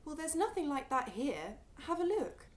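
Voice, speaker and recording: A young British actress playing a brisk, practical grown-up daughter, touchy but dutiful, in a radio play. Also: female voice, high-pitched, sing-song. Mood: matter-of-fact. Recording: echoey room, faint noise.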